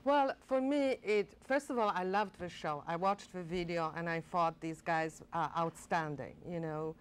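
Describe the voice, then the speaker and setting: An older woman talks eagerly in a television studio interview. She restarts her sentences and fills pauses with "uh" and "you know," recorded cleanly on a lapel mic. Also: fairly low pitch, clean tone. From Poland. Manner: enthusiastic, animated, many fillers and restarts. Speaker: director of a college performing arts center.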